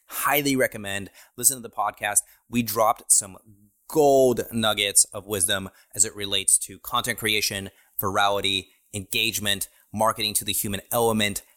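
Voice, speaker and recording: A man's voice: on the low side, sharp tone. A man hosting a podcast interview, talking loosely with lots of fillers, restarts and swearing. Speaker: podcast host. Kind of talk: casual interview chat.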